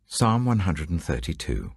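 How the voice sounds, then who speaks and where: Older male scripture reader: very deep, husky and gravelly, slow and solemn, building on proclamations; dry, close studio mic. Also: huge pitch swings, dry recording. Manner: measured, solemn delivery that swells for quoted proclamations. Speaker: Bible reader. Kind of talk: scripted audiobook reading of scripture.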